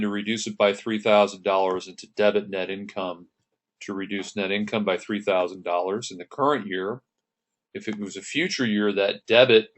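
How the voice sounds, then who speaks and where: A man with a lowish voice gives an accounting lecture over slides. He works through each entry methodically, one step at a time. Now and then he stops to clear his throat. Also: a bit bright. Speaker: accounting instructor.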